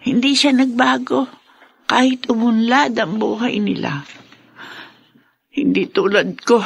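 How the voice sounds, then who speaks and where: A woman's voice: a little high, vocal fry, slightly rough, dry recording, studio quality, full character voice. A woman playing a regretful, humble older mother in a Filipino radio drama, speaking earnestly. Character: regretful older mother, now humble, fond of her brother.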